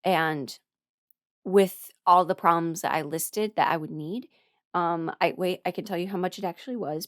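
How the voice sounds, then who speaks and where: Young adult woman, lowish pitch, dry close recording, frank confiding ramble, halting phrasing. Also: no room sound. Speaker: voice performer sharing a personal ramble. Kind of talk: candid personal ramble.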